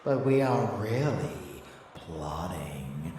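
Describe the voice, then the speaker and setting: A man playing a rebellious, possessive and seductive vampire lover in an ASMR audio roleplay, teasing and bloodthirsty in a drawn-out, intimate delivery. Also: sits low, big pitch swings, warm tone, full character voice. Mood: sly, smug. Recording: echoey, distant, faint background noise.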